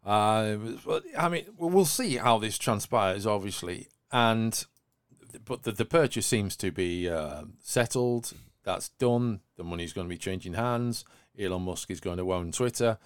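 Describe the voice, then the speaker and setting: A man with a lowish voice presents tech news on a podcast. The sound is dry, with little room in it. His delivery mixes read items with wry, flippant commentary. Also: expressive, a little slow, smooth, dry recording. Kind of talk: news discussion podcast, semi-scripted.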